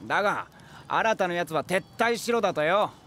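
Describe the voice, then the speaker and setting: Hot-blooded young fighter, high voice, rough and animated, for anime drama. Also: male voice, normal speed, a little hiss or hum. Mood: brash alert. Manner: rough, brash.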